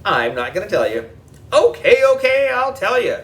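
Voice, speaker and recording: A man's voice: high-pitched, sing-song, normal speed, recorded from a distance. A man narrating a children's audiobook in a playful, exaggerated storytelling manner. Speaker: audiobook reader.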